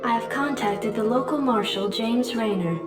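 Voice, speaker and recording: A woman voicing a calm, formal military computer assistant with a synthetic filter, briefing the player in a video game. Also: a little high. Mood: impassive, formal. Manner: measured, formal, impassive. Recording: echoey, distant, loud music underneath.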